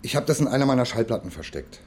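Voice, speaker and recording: Husky, hapless young slacker, lively pitch. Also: male voice, racing. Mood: flustered. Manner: hesitant, colloquial, evasive. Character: hapless, flustered, slacker-like young man in trouble. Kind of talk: naturalistic radio drama acting.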